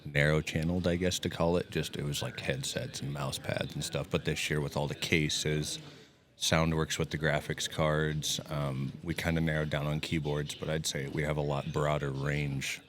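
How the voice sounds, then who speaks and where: A man with a loud voice, chatting casually as a guest admin in an interview at a gaming event. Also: very deep voice, moderate pace. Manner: loud, relaxed, plainspoken. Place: event hall at a gaming party.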